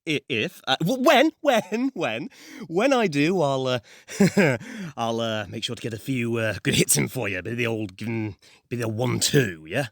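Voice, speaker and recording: A man's voice: medium pitch, animated, measured pace, creaky, no echo, clean studio sound. A man playing a cowardly young mafia boss in an audio drama, stammering, blustering and nervously polite. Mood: flustered. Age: young adult. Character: cowardly loudmouthed young male mafia don, fawning and flustered. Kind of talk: audio roleplay acting.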